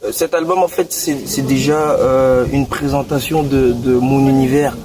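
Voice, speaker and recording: A man's voice: mid-range voice, normal speed, a crowd in the background. Casual young Belgian man, far-off mic. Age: 20s. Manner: relaxed, slangy, many restarts and fillers. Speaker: guest rapper. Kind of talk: casual interview. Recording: distant mic with clear background noise.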